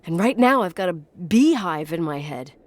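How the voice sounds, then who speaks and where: A woman's voice: on the low side, animated, moderate pace, no room sound, lightly acted. An actress playing a stubborn, inquisitive young woman in naturalistic game dialogue, suspicious and uneasy, probing earnestly with some hesitation. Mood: determined, suspicious.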